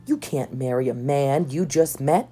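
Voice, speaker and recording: A woman's voice: very deep voice, measured pace, dark tone. A woman voicing a guarded, anxious young queen in a storybook read-aloud, with firm, clipped phrasing. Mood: stern. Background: background music.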